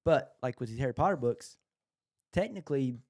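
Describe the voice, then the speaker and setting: A man's voice: medium pitch, animated, fairly quick, darkish tone, no echo, studio quality. A man co-hosting a podcast in casual chat, with an informal, matter-of-fact way of talking. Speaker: podcast co-host. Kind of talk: casual chat about books and shows.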